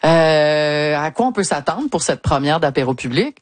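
A woman's voice: sits low, animated, moderate pace, dry recording. Female radio host, Quebec French accent, conversational and informal live delivery, dry studio sound. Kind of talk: casual live radio chat.

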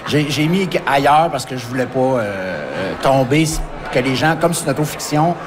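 Québécois man in his fifties, hesitant interview talk, background noise clearly audible. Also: medium pitch, expressive. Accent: Québécois French. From Quebec. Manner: halting, many restarts and fillers. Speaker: author and forest firefighter. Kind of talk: radio interview.

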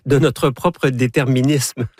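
Articulate radio host. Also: male voice, mid-range voice, steady tone, slow, a little mellow, dry recording, clean studio sound. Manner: composed, articulate, in full sentences. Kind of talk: radio interview hosting.

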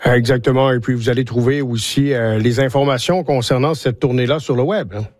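A man's voice: medium pitch, brisk, a little mellow, dry recording. A man hosting a conversational interview, agreeable, with hesitant phrasing. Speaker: interview host.

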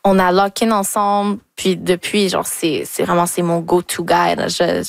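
Brief: young Québécois singer, relaxed talk, dry close sound. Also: female voice, on the low side, normal speed, creaky, dry recording. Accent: Québécois French. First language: French. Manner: relaxed, mixes English words into French. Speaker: R&B singer, interview guest. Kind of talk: casual interview conversation.

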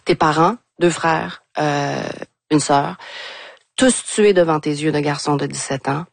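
A woman's voice: low voice, a little slow, creaky, no echo. A woman hosting a conversational live interview. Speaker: interview host.

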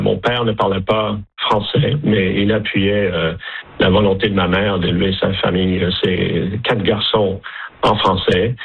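A man's voice: on the low side, gravelly, slightly rough. A man from Alberta with a Canadian French accent, answering radio interview questions with many hesitations and restarts. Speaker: interview guest, director general of a francophone federation. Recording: lo-fi phone-line radio with faint music.

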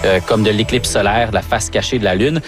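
A middle-aged man hosting a radio interview, relaxed and chuckling, with frequent hesitations. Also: fairly low pitch, a little fast, over music. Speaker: radio host.

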